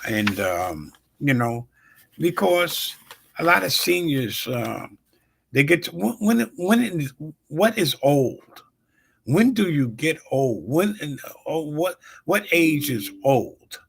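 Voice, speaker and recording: Guy in his 60s hosting a livestream, gravelly and kinda husky, rambles and stops and starts, shouts out viewers. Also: on the high side, very animated. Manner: rambling, halting, many fillers; greets viewers warmly by name. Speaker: show host. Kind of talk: casual live-stream talk.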